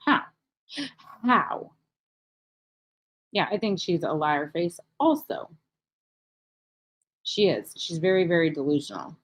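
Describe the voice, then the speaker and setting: A woman hosting an unscripted reaction video, speaking in a blunt, mocking way with heavy swearing and emphatic repetition. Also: lowish voice.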